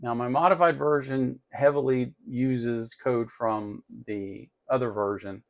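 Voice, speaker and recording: A man's voice: medium pitch, fairly even intonation, unhurried, vocal fry. A man hosting an electronics tutorial in a casual, unscripted way, explaining step by step as he works and often repeating himself.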